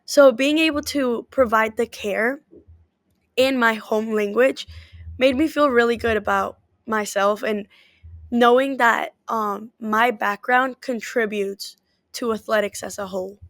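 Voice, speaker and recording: A woman's voice: a little high, measured pace, dry recording, clean studio sound. A woman whose first language is Spanish, an athletic trainer answering interview questions in an earnest, upbeat way.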